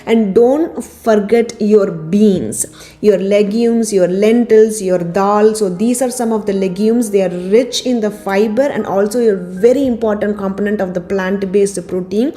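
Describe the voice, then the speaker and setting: A woman doctor giving a health talk to her channel's viewers, her voice a little raspy after a cold, steadily listing points one by one. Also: medium pitch, a little slow. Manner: steady explanatory delivery, lists items one by one. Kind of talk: informal health talk to camera. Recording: faint background music.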